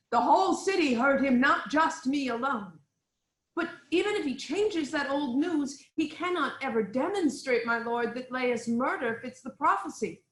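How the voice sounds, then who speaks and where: Actress as composed tragic queen: fairly high voice, formal and assured, roomy echoing recording. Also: fairly bright tone. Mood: firm, reassuring. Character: poised, concerned queen, wife of the king. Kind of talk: dramatic reading of Greek tragedy.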